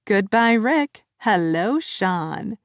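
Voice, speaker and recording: A woman's voice: medium pitch, animated, extremely slow, dry recording, clean studio sound. A woman voicing a giddy young woman newly in love, gushing and teasing her friend.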